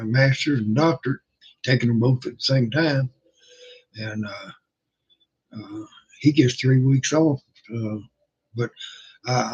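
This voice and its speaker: An older man hosting a livestream, chatting casually in a hoarse, rough voice, halting and folksy with many pauses and fillers. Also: medium pitch, darkish tone. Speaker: livestream host. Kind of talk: casual rambling chat. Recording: dull lo-fi sound.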